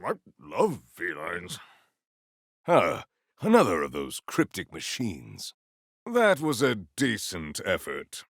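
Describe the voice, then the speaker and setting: An actor playing a formal, valiant, earnest warrior hero in a video game, with stately, theatrical diction. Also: male voice, low-pitched, huge pitch swings, slow, a bit bright, dry recording, studio quality, exaggerated voice. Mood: composed, grave. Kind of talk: scripted video game character lines.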